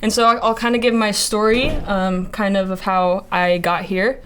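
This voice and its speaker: A woman's voice: mid-range voice, fairly even intonation, moderate pace, a bit bright, a little hiss or hum. A young woman in her early twenties from Montana, sharing a faith testimony in casual chat, hesitant with many fillers. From Montana, USA. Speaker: ministry intern.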